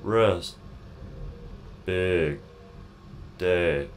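A just switched on robot man, low voice, super slow n halting, radio play. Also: background noise. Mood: blank, halting. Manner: halting, word by word, echoing what he hears. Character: newly activated male android, slowly learning to speak. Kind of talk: audio drama acting.

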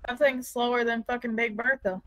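A woman with a high voice, bantering with another player in a roleplay game. Her talk is casual and teasing. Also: steady tone, brisk, bright, forceful tone.

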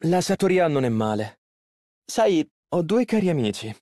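A man's voice: fairly high pitch, big pitch swings, normal speed, dark tone, dry recording, clean studio sound. An actor playing a polite, earnest and easily flustered young male tailor in an Italian game dub. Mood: calm, reflective. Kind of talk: video game character voice lines, Italian dub.

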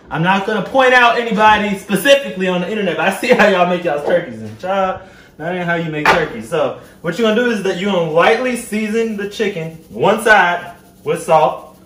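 Young southern guy doing a cooking vlog, high voice, playful and slangy, echoey kitchen. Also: a little fast. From Louisiana, United States. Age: 20s. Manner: playful, sassy, animated, slangy. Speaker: host of a home cooking vlog. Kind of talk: casual cooking demonstration, chatty to viewers. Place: indoors in a home kitchen. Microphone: camera a step or two away. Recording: echoey kitchen, some background noise.

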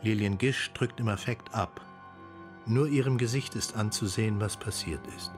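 A man's voice: lowish voice, normal speed. A man reading scripted radio narration that describes a film scene as a narrator. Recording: music behind the voice. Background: music.

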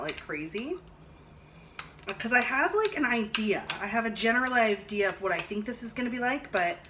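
A woman in her thirties chatting casually and thinking aloud as she cooks at home, recorded on a camera an arm's length away. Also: mid-range voice. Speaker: vlog host cooking and reviewing a product. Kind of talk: casual chat while demonstrating cooking. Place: home kitchen, indoors. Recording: clattering dishes and clicks, noisy room.

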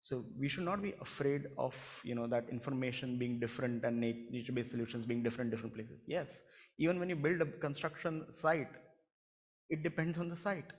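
A man's voice: medium pitch, fairly even intonation, a little fast. A man on a conference panel, making his point emphatically and often repeating words as he starts. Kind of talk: panel discussion. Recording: long reverb of a conference hall.

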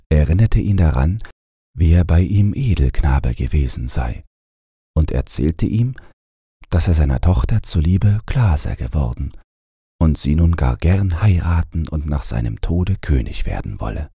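A man narrating fairy tales as a scripted audiobook, in a measured, expressive storytelling manner. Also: rumbling low, vocal fry, slightly rough, no room sound, studio quality.

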